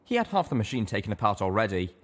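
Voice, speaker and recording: A man's voice: on the low side, big pitch swings, fast. A man reading a scripted story as an audiobook narrator. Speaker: audiobook reader.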